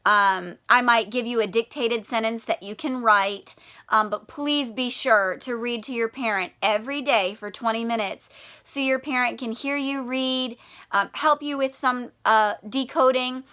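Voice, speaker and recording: A woman's voice: fairly high pitch, a little slow, sharp tone. A woman who teaches primary school, reading aloud and talking directly to children with prompting questions.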